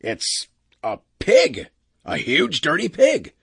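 A man's voice: fairly high pitch, wildly swooping pitch, moderate pace, gravelly, fairly bright tone, breathy edge, dry recording, studio quality, pitched above the performer's own voice, exaggerated voice. Man playing a brash, bossy spaceship captain. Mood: disgusted. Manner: blunt, bossy, dismissive.

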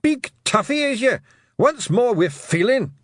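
Pint-sized Scottish brawler for a storybook: high, husky, combative, always spoiling for a fight. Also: male voice, huge pitch swings, unhurried, dry recording, studio quality, heavily acted. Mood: belligerent, triumphant. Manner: shouting, exclamatory, combative. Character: tiny feisty blue warrior men, brash and scrappy.

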